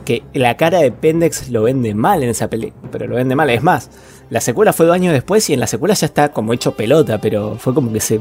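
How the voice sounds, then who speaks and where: A man's voice: medium pitch, lively intonation, fast, darkish tone. Argentine male podcast co-host, colloquial and foul-mouthed, mid register, light music bed. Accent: Argentine Spanish. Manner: colloquial, slangy, swearing. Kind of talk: casual film chat.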